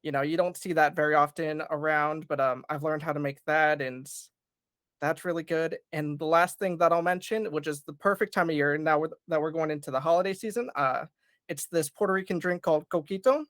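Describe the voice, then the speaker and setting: A panel guest chatting casually and warmly about home food, with many fillers. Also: mid-range voice, brisk, no room sound, studio quality. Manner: friendly and talkative, many fillers.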